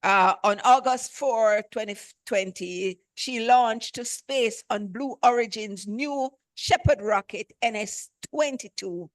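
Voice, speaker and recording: A woman's voice: fairly high pitch, slow, few pauses, dry recording. A woman host introducing a guest speaker with enthusiasm, and stopping now and then to correct herself. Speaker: event host.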